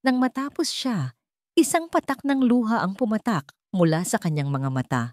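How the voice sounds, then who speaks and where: A woman narrating a dramatic family story in a measured, solemn way. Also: medium pitch, lively intonation, a little mellow, no room sound, studio quality.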